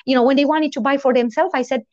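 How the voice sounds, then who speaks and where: A woman in her forties with a foreign accent, not a native English speaker, telling her story as an interview guest in a lively, animated way. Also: high voice, quick pace, no room sound, muffled recording. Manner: animated, lively storytelling with quoted inner thoughts.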